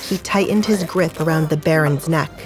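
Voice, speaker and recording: A woman's voice: deep voice, normal speed, soft background music. Woman narrating a romance audio drama. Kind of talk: scripted story narration.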